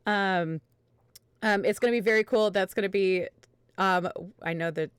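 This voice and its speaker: A woman's voice: mid-range voice, moderate pace, smooth, dry recording. A woman hosting a livestream, chatting casually in a rambling, self-interrupting way. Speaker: host, variety streamer.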